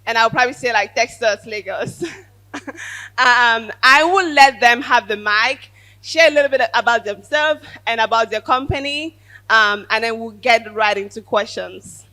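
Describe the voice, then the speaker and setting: A woman moderating a live event panel, upbeat and quick as she hands over to the speakers. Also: on the high side, bright, forceful tone, poor audio. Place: event stage with audience.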